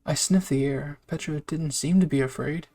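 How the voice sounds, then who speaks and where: A man's voice: on the high side, normal speed, dark tone. A man reading a scripted first-person story aloud as a narrator. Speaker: fan fiction narrator.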